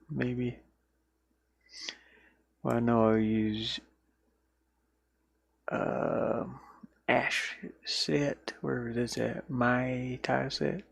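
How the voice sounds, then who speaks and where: A man talks to himself in a slow, rambling way, as on a casual livestream while working. His voice is creaky with a lot of fry, and it sounds close and dry inside a van. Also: mid-range voice, dry recording. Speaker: livestreamer and indie game developer. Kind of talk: casual solo livestream chat, thinking aloud while working.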